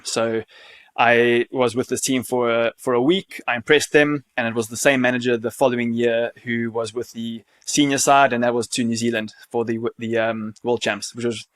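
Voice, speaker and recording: A man's voice: medium pitch, a bit bright. A South African man in his thirties, a chiropractor answering interview questions in a casual, conversational way. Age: 30s. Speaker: chiropractor, podcast guest.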